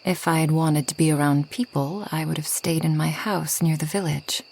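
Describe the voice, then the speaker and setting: A woman playing a reclusive, ageless forest witch in a soft ASMR roleplay, gentle and wry, often slipping toward a whisper. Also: low-pitched, steady tone, normal speed, creaky, slight background noise, rough recording. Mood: soft, wistful. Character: reclusive, centuries-old kind but proud forest witch.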